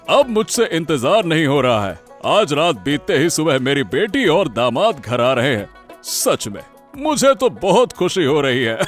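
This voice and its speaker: A man acts out a doting older village husband and father for a cartoon. His fairly high voice swings up and down and takes its time, over soft music. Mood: excited. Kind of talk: Hindi animated moral story acting.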